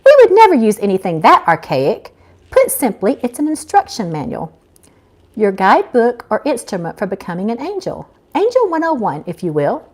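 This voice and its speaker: A woman's voice: fairly high pitch, huge pitch swings, moderate pace. A woman reading a story, voicing a prim, impatient older female angel mentor who instructs snippily. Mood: brisk, instructive. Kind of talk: live audiobook-style reading.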